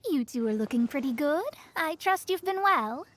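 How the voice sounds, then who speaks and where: A woman voicing a self-important, sharp-tongued young astrologer in an anime game, pompous and theatrical. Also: sits high, expressive, normal speed, slight background noise. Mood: bemused.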